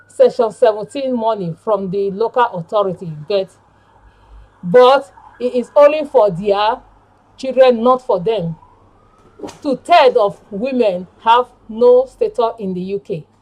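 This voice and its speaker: A woman reading a prepared campaign speech aloud in an earnest, deliberate way, with halting phrasing. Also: on the high side, darkish tone, rough recording. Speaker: panel speaker and member of a women's campaign group.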